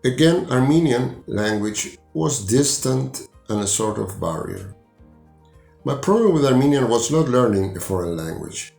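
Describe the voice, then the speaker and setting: A man in his sixties from Argentina, a native Spanish speaker with an Argentine Spanish accent, reading a personal testimony in a measured, deliberate way. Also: medium pitch, lively intonation, creaky, darkish tone, roomy sound, faint music behind. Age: 60s. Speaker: language student.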